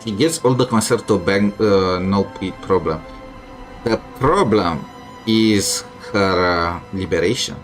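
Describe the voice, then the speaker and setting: A man with a fairly low voice talks through his video game in a casual way. He thinks aloud and grumbles a little as he goes, with game music clearly playing behind him. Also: measured pace. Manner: thinks aloud, mildly complaining. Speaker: gamer streaming a playthrough. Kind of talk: casual gameplay commentary.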